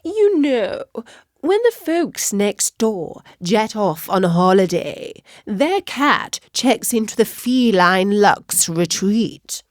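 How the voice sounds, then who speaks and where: Woman voicing a pompous, grumbling tomcat, slow and drawling. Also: fairly high pitch, sing-song, a bit bright, no room sound, clean studio sound, full character voice. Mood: envious, annoyed. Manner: pompous, drawling, theatrically aggrieved. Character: grumbling, vain, indignant male talking cat tutor. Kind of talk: children's storybook character voice.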